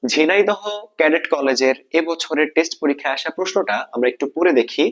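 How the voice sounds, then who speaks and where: Higher-pitched Bangladeshi male grammar teacher. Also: a little fast. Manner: repeats points and asks himself questions. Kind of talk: explanatory exam-prep lesson, step by step.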